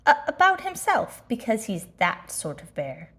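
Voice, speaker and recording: Little boy for a storybook, played by a woman: inquisitive, polite, eager, animated intonation. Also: fairly high pitch, a little slow, heavily acted. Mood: eager, curious. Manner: eager, questioning. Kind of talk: children's storybook character reading.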